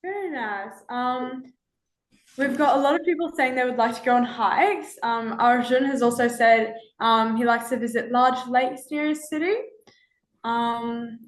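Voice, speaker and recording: A teenage girl leads an icebreaker for other students. She speaks hesitantly and often starts with "um". Her voice is a bit high and echoes around the room. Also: fairly even intonation, normal speed. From Australia. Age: teens. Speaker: student presenter.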